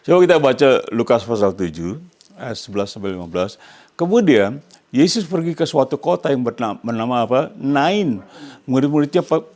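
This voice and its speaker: A man's voice: medium pitch, expressive, moderate pace, darkish tone, a little hiss or hum. An older man from Indonesia with an Indonesian accent, a pastor preaching to a congregation in an emphatic way, often prompting them with questions. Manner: emphatic, asks the congregation questions to complete his phrases. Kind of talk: sermon to a congregation.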